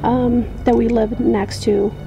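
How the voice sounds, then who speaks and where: A woman's voice: fairly high pitch, level tone, slow, gravelly, dark tone, soft background music, low-quality recording. A young adult woman from Poland, an army veteran, answering interview questions hesitantly with many ums and restarts.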